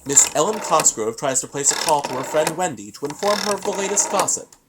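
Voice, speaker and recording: An actor playing the solemn, ominous narrator of an uncanny radio play, reading scripted narration. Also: male voice, a little high, expressive, a little fast, very noisy, close to an everyday voice. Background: loud music.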